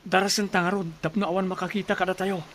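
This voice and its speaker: A man's voice: high-pitched, flat delivery, fast, fairly bright tone, a little hiss or hum. A man playing a humble, watchful younger sibling in an audio drama, urgent and practical.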